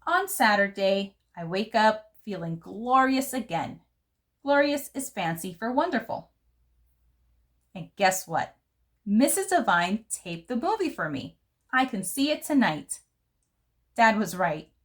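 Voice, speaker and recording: A woman voicing a fancy, dramatic little girl who loves glamour, telling her story from a children's book in an expressive, theatrical way. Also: mid-range voice, measured pace. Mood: glad. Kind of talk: first-person storybook narration for children.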